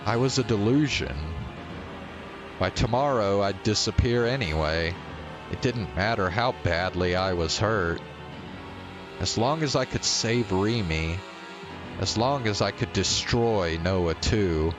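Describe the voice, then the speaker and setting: A man streaming a visual novel, reading its first-person text aloud as dramatic narration in deliberate, measured phrases. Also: fairly low pitch, lively intonation, music in the background.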